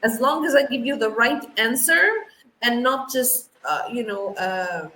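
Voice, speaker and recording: A woman's voice: a little high, measured pace, sharp tone. A woman, an English teacher answering interview questions casually, often repeating words as she thinks. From Algeria. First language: not English; English is her third language. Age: 30s. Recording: roomy echo, faint background noise.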